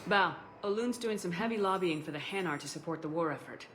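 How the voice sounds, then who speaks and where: Actress as military agent, deep female voice, quick delivery, businesslike, persuasive, game dialogue. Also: fairly bright tone, a little hiss or hum, lightly acted. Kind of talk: video game voice acting.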